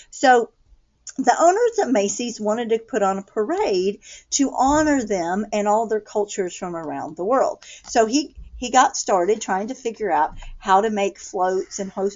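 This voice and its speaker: A woman with an American accent reading a picture book aloud to children, pausing to explain things in simple words. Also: medium pitch, a little mellow, lo-fi sound.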